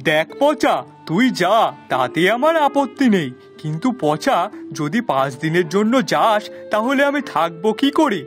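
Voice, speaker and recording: A man's voice: high-pitched, sing-song, normal speed, faint music behind. A man playing a fussy, dependent, easily exasperated master of the house in a cartoon, in a theatrical, complaining way. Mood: fussy, reluctant. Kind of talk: cartoon voice acting.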